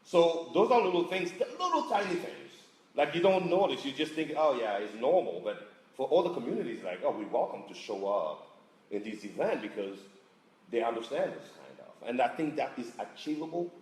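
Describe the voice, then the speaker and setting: A man from answers on a panel in a halting, animated way, his pitch swinging up and down as he hunts for words. The room is echoey around him. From Congo. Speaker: panelist, business operator. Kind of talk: panel discussion.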